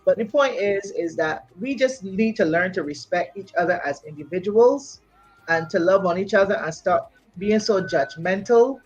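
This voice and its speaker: A woman from Barbados, a singer and entrepreneur, talking in an animated, casual chat full of "you know". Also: medium pitch, normal speed, slightly rough, lo-fi sound, faint music behind.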